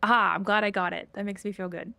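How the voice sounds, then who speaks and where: Female game streamer, casual and chatty, noticeable vocal fry, dry close mic. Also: mid-range voice, steady tone, fast, bright, forceful tone, no room sound. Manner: chatty, thinking aloud. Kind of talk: casual livestream chat while playing.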